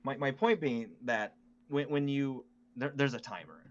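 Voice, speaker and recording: A man's voice: medium pitch, unhurried, dull audio. A man co-hosting a casual gaming podcast, chatting conversationally. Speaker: podcast co-host.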